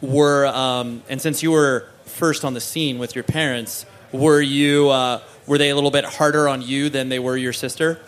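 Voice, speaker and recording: A man's voice: medium pitch, steady tone, normal speed, few pauses, a bit bright, smooth. Meandering male interview host. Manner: meandering, hesitant, many "uh" and restarts while framing questions. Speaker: podcast host. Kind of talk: casual interview chat.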